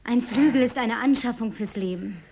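High-voiced, bossy, playful woman. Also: moderate pace, a little mellow, husky. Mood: defiant, then coaxing. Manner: commanding, teasing, exclamatory. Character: bossy, playful, high-spirited woman among New York's ruins. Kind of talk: German radio play dialogue acting.

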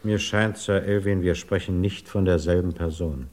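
Actor as a very deep-voiced, measured government investigator. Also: male voice, fairly even intonation, a little mellow, lightly acted. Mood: measured, matter-of-fact. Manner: deliberate, formal, polite. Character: measured, courteous, resolute male government investigator from London. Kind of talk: 1950s German radio drama acting.

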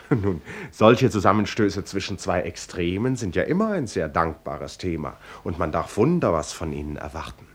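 A man narrating a radio play in an ironic, teasing manner, speaking as if directly to listeners and characters. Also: lowish voice. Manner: ironic, teasing, chuckling asides.

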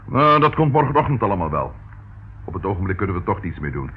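An actor playing a genial, brisk policeman in a radio play, with a hearty, avuncular manner. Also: low-pitched, big pitch swings. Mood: hearty, friendly. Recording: dull, faintly noisy old radio recording.

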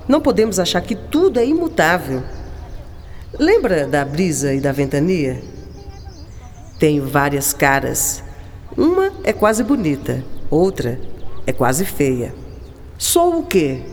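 A woman's voice: fairly low pitch, very animated, unhurried, long pauses, a little mellow, in a large hall, a little hiss or hum. An actress playing a cultured, enigmatic woman writer in a Brazilian radio drama, speaking with musing, lyrical affection. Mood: tender, enigmatic. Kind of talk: scripted radio drama acting.

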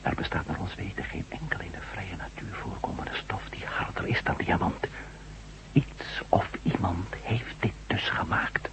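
An actor playing a curious, dryly joking ex-astronaut reporter in a science-fiction radio drama. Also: male voice, sits low, moderate pace, whispered. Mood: musing, focused. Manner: dry, teasing, musing. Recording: dull lo-fi radio recording, humming noise.

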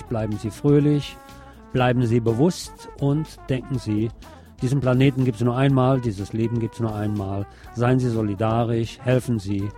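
A man hosting a radio programme, delivering a measured, deliberate film review as a monologue. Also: mid-range voice, dark tone. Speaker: radio host.

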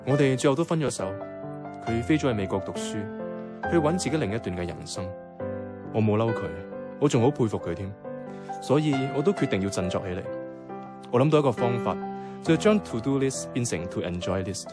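Actor as caring male flatmate, Hong Kong Cantonese accent, easygoing, earnest, mid-pitched, radio drama with clear music behind. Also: fairly quick, long pauses, lightly acted. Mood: earnest, upbeat. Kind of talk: conversational radio drama dialogue. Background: background music.

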